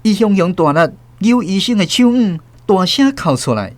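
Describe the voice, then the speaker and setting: A man narrating a radio drama as host, with expressive storytelling. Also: sits high, moderate pace, dry recording.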